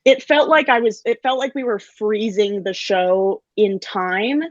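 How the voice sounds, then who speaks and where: A woman's voice: medium pitch, steady tone, a little slow, no room sound. Hesitant young woman, slightly dull dry audio. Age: 20s. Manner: hesitant, thinking aloud. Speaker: actor, graduating theater student. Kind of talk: casual chat.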